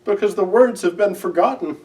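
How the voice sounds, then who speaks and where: A man voicing a sorrowful, gentle, simple-hearted owl from a children's storybook, with earnest, drawn-out emotive delivery. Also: high-pitched, distant mic, pitched above the performer's own voice, natural voice, rough recording. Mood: tearful, mournful.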